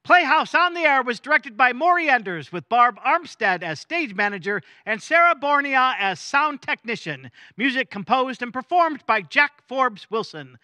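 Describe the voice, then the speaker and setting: A man reading the closing credits of a community theatre radio show in a clear, formal way. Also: extremely high pitch, sing-song, moderate pace, hardly any pauses, sharp tone, dry recording. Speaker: closing announcer.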